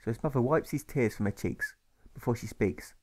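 A man's voice: medium pitch, a little fast, slightly rough. Man narrating a rhyming audiobook. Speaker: author reading his own audiobook.

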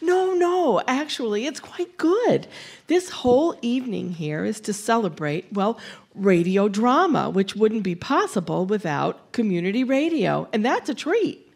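A woman from a community radio station giving a fundraising pitch live on stage. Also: sing-song, unhurried, gravelly, slightly rough.

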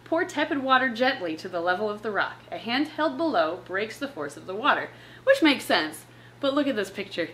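A young woman hosting in a casual, chatty way, with an expressive, playful manner. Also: mid-range voice, fairly quick. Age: young adult. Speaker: host of a reptile channel. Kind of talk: casual chatty presenting with read-aloud passages. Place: living room at home, on a couch. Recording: clear background room noise.

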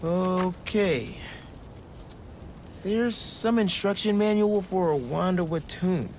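A man's voice: high voice, slow pace, darkish tone, a little hiss or hum. A man playing an earnest, youthful teenage superhero in a video game, speaking casually and urgently. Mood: focused. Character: earnest young male superhero, teenage son.

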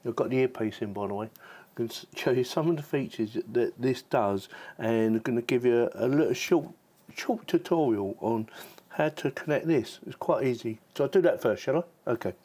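A man with a mid-pitched voice presents a hands-on tech review. He talks in an informal, chatty way, as if thinking aloud while he shows how things work. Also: normal speed, mellow. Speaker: tech reviewer and channel host. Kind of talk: casual product review and demonstration.

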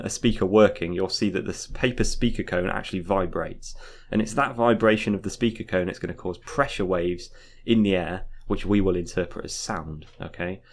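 A man teaching in an informal explainer style, going step by step, repeating points and often checking in with a short tag. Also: deep voice. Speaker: physics teacher. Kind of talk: informal explainer lesson, talking through a diagram.

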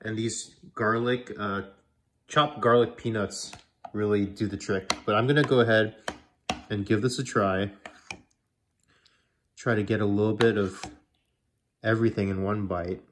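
A man's voice: fairly low pitch, fairly even intonation, measured pace, pauses often, noisy background. Male food vlogger, congested from a cold, chatty and self-correcting, filmed at home in the kitchen. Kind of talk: casual cooking vlog.

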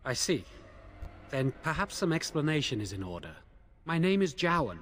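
Anxious, high-voiced young mage prisoner. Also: male voice, sing-song, normal speed, fairly bright tone, a little hiss or hum. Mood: resigned. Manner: hesitant, pleading. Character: imprisoned young mage, anxious, guilty, defensive. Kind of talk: video game voice acting.

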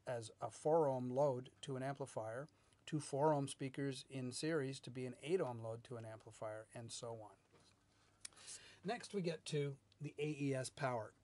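Male, middle-aged, technical presenter. Mid-range voice, measured and a little halting, explaining to camera. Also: medium pitch, darkish tone, no room sound. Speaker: loudspeaker R&D manager, instructor. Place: small indoor video studio with whiteboard.

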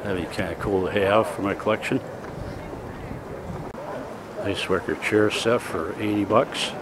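A man's voice: on the low side, steady tone, measured pace. An older man giving rambling, plain-spoken, casual commentary as he walks around with a camera. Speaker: vlogger. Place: busy outdoor fairground and market buildings. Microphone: handheld camera mic, held by speaker. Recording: windy, noisy outdoor camcorder audio.

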